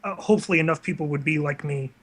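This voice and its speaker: A man talks casually as the guest on an interview show. His voice sits a little high with a crisp, forward brightness, and he explains things earnestly and conversationally. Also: racing. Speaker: guest, online video series creator.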